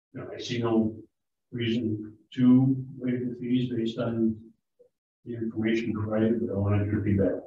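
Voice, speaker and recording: A man chairing a town board meeting, briskly reading resolutions and dollar figures in a matter-of-fact way. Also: mid-range voice, dark tone. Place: large town hall meeting room. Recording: distant echoey hall audio, thin phone-like sound, faint hiss.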